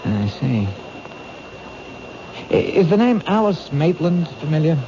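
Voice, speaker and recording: An actor playing an earnest, protective police detective in a 1970s radio drama, plain-spoken and persistent. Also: male voice, a little high, big pitch swings, takes it slowly, heavily acted. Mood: pressing. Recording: muffled, hissy old radio recording.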